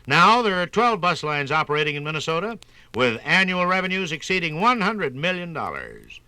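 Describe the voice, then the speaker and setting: A man's voice: a little high, big pitch swings, normal speed, sharp tone, clean tone, no echo. A man narrating a scripted 1950s radio documentary in an emphatic, polished announcer's delivery. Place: radio studio.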